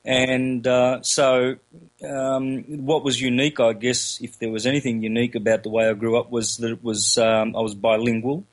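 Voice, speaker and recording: Halting Australian man, interview guest. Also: medium pitch, level tone. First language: Calabrian. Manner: halting, many fillers and restarts. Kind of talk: casual interview talk.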